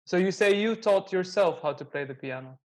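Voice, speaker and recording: A man's voice: high voice, expressive, a little fast. Young male interview host, easygoing and joking, slightly raised voice. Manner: friendly, joking, laughs easily. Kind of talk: casual interview chat.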